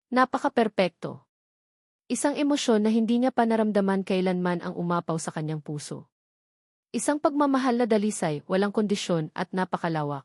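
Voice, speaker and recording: A woman's voice: medium pitch, a little mellow, no room sound. Filipino woman narrating drama, close dry sound. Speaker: audiobook narrator. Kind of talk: scripted dramatic story narration.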